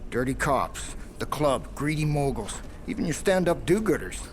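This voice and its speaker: A man playing a brash, excitable, foul-mouthed hacker in a video game cutscene, talking in a cocky colloquial way. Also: medium pitch, moderate pace, a little hiss or hum, lightly acted.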